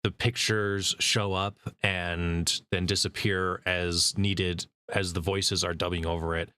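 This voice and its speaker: A man hosting a casual interview with a voice actor, relaxed and quick to laugh. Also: deep voice, clean tone. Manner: relaxed, with easy laughs and "yeah" backchannels. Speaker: interview host.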